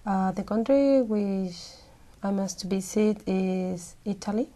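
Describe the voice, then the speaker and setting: A woman answers exam questions in English. She speaks slowly and hesitates as she searches for words, at a middle pitch. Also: warm tone. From Peru. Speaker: exam candidate.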